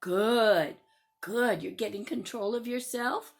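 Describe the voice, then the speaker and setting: Woman as a slow, patient, soothing teacher. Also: fairly high pitch, vocal fry, exaggerated voice. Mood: encouraging. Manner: slow, gentle, deliberate coaching. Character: patient, soothing adult teacher guiding upset child. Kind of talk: children's role-play story.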